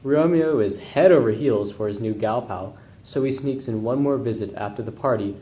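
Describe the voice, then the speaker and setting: Male narrator for comic puppet tale: mellow, mid-pitched, slangy scripted delivery, echoey room. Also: normal speed, a little hiss or hum.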